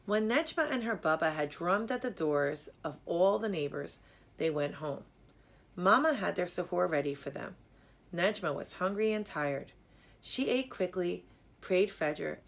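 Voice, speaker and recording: A woman narrates a children's storybook in a slightly low voice. Her delivery is measured and expressive, like scripted storytelling read aloud. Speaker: storybook reader.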